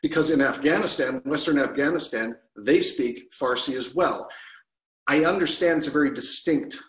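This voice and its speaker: A man's voice: medium pitch, moderate pace, fairly bright tone, roomy sound, low-quality recording. A middle-aged man from Canada, telling a personal story over a video call from his living room, gesturing with both hands. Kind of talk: personal storytelling to an online audience. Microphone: video call webcam.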